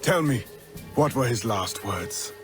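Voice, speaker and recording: An actor playing a stern, domineering older assassin mentor in a video game, with a formal, commanding manner. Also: male voice, mid-range voice, expressive, slow pace, over music. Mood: grave, eager.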